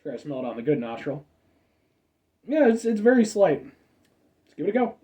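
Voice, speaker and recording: A man in his thirties with a stuffed-up nose hosts a casual soda review, rambling, correcting himself and adding asides. His voice is mellow and dark, with lively swings in pitch. The mic sits a little away from him. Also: normal speed, distant mic. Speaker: food review show host.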